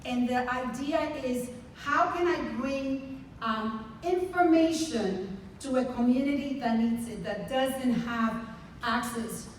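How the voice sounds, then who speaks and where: Lady ceo giving a heartfelt panel talk, kinda high voice, sounds far off in a big echoey room with faint noise. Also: slow pace. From Dominican Republic. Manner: earnest and heartfelt, speaks with pride. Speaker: medical center CEO. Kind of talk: panel speech at a conference. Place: large conference hall.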